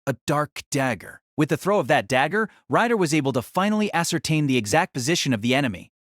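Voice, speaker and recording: Higher-voiced male narrator, very dry sound. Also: big pitch swings, fast, a bit bright, dry recording. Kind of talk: scripted dramatic narration of a fantasy novel.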